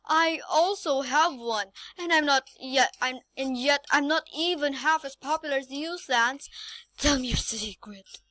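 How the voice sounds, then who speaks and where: A woman voicing a jealous, indignant skeleton in an amateur comic dub, loudly exasperated and melodramatic. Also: a little high.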